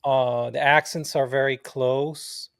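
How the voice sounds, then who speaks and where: A man's voice: medium pitch, steady tone, slow, vocal fry, a bit bright, clean tone, no room sound. A man chatting informally as a podcast interviewer, often dropping in man and bro. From the Levant. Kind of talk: casual conversational interview.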